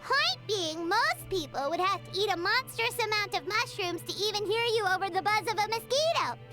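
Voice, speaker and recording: A woman voicing a tiny, sassy companion girl in a game, impatient and quick with a comeback. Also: extremely high pitch, expressive, over music. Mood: explaining. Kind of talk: game voice acting.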